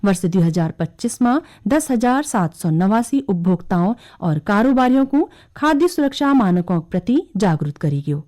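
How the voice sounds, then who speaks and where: A woman reads scripted regional radio news in a Kumaoni accent. Her voice sits at a medium pitch and has a soft, mellow tone. The intonation moves up and down in a lively way. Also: normal speed, hardly any pauses.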